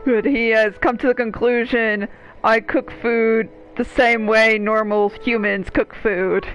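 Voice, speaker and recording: A woman's voice: on the high side, fairly even intonation, a little slow, a little hiss or hum. A woman chatting casually and jokingly, recorded on a dull lo-fi microphone. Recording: dull lo-fi mic.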